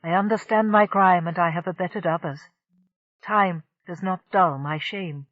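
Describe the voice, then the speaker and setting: A woman's voice: on the low side, measured pace. A woman voicing a remorseful winged alien male who speaks earnestly and pleads. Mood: ashamed. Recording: dull lo-fi dry recording.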